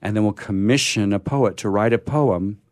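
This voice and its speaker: A man's voice: lowish voice, darkish tone, dry recording, clean studio sound. A man hosting a radio show in casual, unscripted talk, stammering and restarting often, with a sore throat. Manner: halting, often stammering and restarting mid-phrase.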